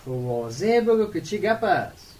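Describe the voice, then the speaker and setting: A man acts a polite young village man for a radio play. He speaks in a high, lively voice with a courteous, questioning manner, sounding a little away from the microphone. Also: far from the mic. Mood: inquisitive. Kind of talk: radio drama acting in dialogue.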